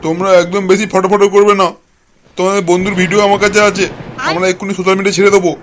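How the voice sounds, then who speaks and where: A man's voice: sits high, flat delivery, racing, a bit bright, slight background noise. A man voicing a menacing, scheming, blustering husband in a comic cartoon. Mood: threatening. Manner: bullying, threatening. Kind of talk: comic cartoon villain dialogue.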